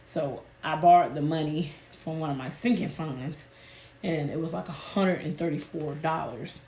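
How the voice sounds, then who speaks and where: A woman, hoarse and a bit nasal from a sinus illness, chatting casually with her viewers about her budget. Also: low voice, moderate pace, dark tone, roomy sound. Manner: chatty, addresses viewers as "y'all". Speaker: budgeting channel host.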